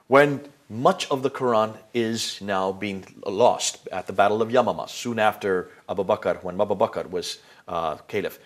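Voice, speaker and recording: A man giving an explanatory lecture to an interviewer, emphatic, with rhetorical questions and frequent fillers and restarts. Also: on the low side.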